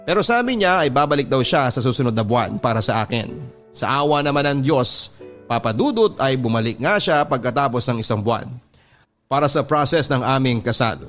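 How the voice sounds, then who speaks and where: Filipino man narrating sentimental letters over music. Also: medium pitch. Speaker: radio host reading listeners' letters. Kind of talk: dramatic scripted narration of personal love-story letters. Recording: music playing under the voice. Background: background music.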